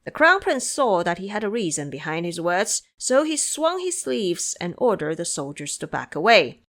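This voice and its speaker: Woman narrating with animated intonation. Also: on the low side, normal speed. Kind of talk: scripted audio drama narration.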